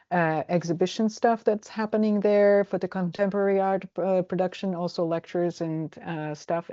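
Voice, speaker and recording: A woman in her forties from Croatia with a Croatian accent, answering interview questions in a halting way with many fillers and restarts. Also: fairly low pitch, dark tone, dry recording, lo-fi sound. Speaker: travel agent. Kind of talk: casual interview.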